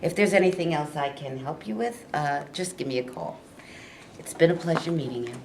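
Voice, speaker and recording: A woman playing an earnest, reassuring high school football coach in a staged reading, with a measured, persuasive delivery. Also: gravelly, noisy background.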